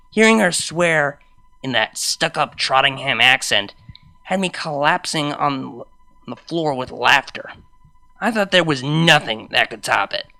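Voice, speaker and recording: A woman reading a story aloud as an expressive first-person narrator. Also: deep voice, vocal fry, dry recording. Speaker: fan fiction reader.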